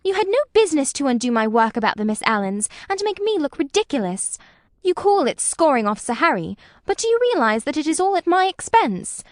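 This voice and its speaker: A woman's voice: high-pitched, big pitch swings, moderate pace, no room sound, clean studio sound. A woman reading an audiobook, voicing a proper young woman who speaks in a clipped, reproachful way. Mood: indignant. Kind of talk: audiobook character voice.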